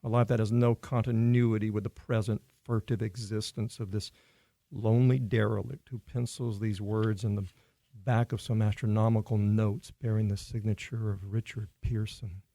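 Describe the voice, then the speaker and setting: A man acting a haunted professor and lone survivor in a radio play. He narrates his journal with a dark, gravelly voice, measured and brooding, recorded close and dry. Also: fairly low pitch, little pitch movement, dry recording, lightly acted. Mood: bleak, reflective. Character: haunted male professor, lonely ragged survivor.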